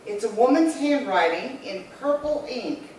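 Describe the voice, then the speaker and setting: A woman's voice: on the high side, animated, a little slow, a little mellow. A woman playing a frank, skeptical maid in a 1950s radio comedy, recorded at a distance in an echoey hall. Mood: matter-of-fact. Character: frank, skeptical household maid, loyal and wry. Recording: echoey hall, distant mic, faint noise.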